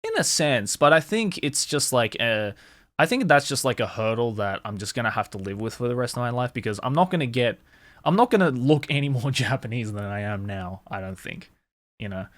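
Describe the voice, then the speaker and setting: A man's voice: mid-range voice, animated, a little fast, fairly bright tone, dry recording. A young man, hosting a casual interview and talking candidly, with lots of "like" and some swearing. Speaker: host.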